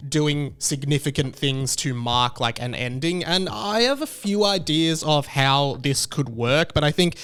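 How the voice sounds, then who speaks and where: Male YouTube reviewer, slightly higher pitch, casual commentary style. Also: expressive, unhurried, few pauses, fairly bright tone, smooth. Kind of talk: casual video-essay commentary.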